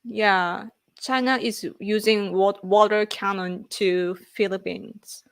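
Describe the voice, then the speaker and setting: Japanese lady streaming, slow and rambly, chats with viewers, laughs a lot. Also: medium pitch, steady tone, clear, resonant tone. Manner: rambling, reads chat aloud, laughs often. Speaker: livestream host. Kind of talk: casual live stream chat.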